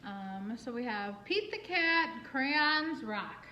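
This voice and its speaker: A woman reading a picture book aloud for children in an expressive, singsong way, with asides and questions to young listeners. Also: fairly high pitch, slow pace, highs cut off, a little hiss or hum. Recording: roomy, echoing room sound.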